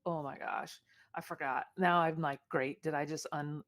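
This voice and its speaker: A woman co-hosting a podcast in casual chat, animated and full of questions. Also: low-pitched, normal speed. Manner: animated, inquisitive, laughing. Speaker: podcast co-host.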